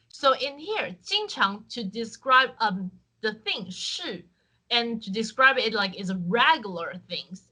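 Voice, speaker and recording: A woman from Taiwan, a native Chinese speaker with a Taiwanese accent, teaching an online Chinese lesson in clear, patient English with frequent fillers. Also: a little high, pushed and bright, low-quality recording. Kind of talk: online language lesson with screen share.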